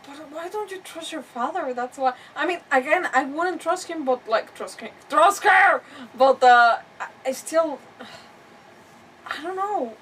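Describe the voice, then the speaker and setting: A woman's voice: high voice, expressive, a little slow, a bit bright, a little hiss or hum. Casual, rambling woman streamer, slightly distant. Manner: informal, reactive, rambling explanations. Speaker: game streamer. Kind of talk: casual live let's-play commentary.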